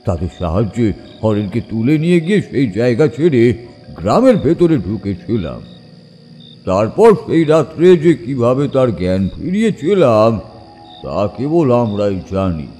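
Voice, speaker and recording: An actor playing an older village man who recounts an eerie tale from his youth in a suspenseful, deliberate manner with dramatic pauses. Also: mid-range voice, big pitch swings, warm tone, soft background music, close to an everyday voice. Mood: grave, reflective. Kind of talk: dramatic horror audio story narration.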